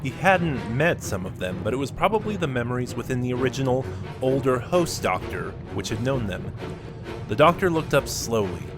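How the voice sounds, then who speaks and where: A man narrating a fan-made audio drama in a steady storytelling manner. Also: mid-range voice, normal speed. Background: music.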